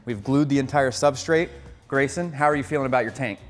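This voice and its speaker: An American man of ordinary pitch talks through a build in an upbeat, coaching way, explaining each step as he goes. His voice trails into a long reverb, with soft music underneath. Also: fairly quick. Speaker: aquarium hobbyist host. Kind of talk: casual instructional vlog.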